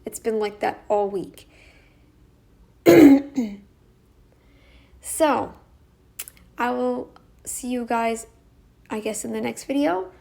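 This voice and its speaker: A young woman vlogging casually to camera, her voice croaky as she talks in halting, rambling sentences full of restarts. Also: on the high side, darkish tone. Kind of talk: casual talking-head vlog.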